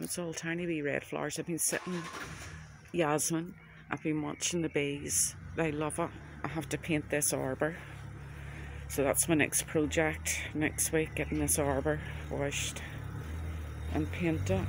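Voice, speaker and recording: A man with a Northern Irish accent chats gently and casually as he narrates an unscripted garden tour on a handheld phone outdoors. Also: on the high side, creaky, background noise. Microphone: handheld phone camera.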